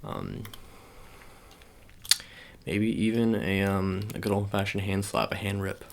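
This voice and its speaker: Low, creaky male ASMR roleplayer. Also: little pitch movement, slow pace, slight background noise. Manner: hesitant, improvised, informal with playful slang. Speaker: ASMR performer roleplaying a sports agent. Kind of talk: ASMR roleplay, one-sided personal-attention chat.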